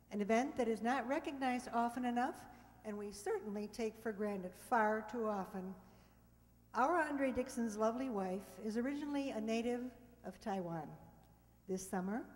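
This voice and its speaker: A woman's voice: medium pitch, a little mellow. A woman giving a prepared, courteous stage introduction as host of a radio players' show. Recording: echoey hall, live stage.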